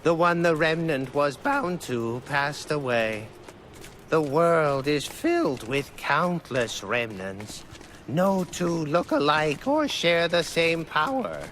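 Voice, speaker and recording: A man acting a solemn, formal retainer in a video game, speaking in measured, archaic phrases. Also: fairly high pitch, animated, fairly bright tone, a little hiss or hum. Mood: solemn, explanatory. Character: solemn, formal male retainer explaining lore gravely.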